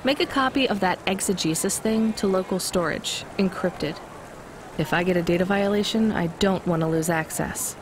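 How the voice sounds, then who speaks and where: A woman's voice: on the low side, moderate pace, noisy background, lightly acted. A woman playing a young courier driver in a video game, with a dry, skeptical, understated delivery. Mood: dry, curious. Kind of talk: naturalistic scripted game acting.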